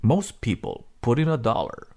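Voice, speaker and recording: A man reading a children's storybook aloud as narrator, in an expressive, dramatic way. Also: mid-range voice, moderate pace. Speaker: read-aloud host.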